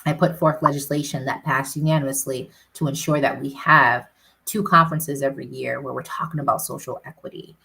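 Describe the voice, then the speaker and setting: Low-pitched woman, city councilor, earnest interview answers, checks in with "right?". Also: fairly even intonation, fairly quick, vocal fry, pushed and bright, low-quality recording. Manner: earnest, tags points with "right?".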